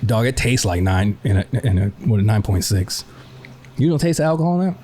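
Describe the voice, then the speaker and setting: A young man in his twenties co-hosting a podcast, bantering and teasing on a boom-mounted studio microphone in a home bar studio. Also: fairly quick, a little hiss or hum. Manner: joking, energetic, jumps up in pitch when teasing. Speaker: podcast co-host. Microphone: boom-mounted studio mic.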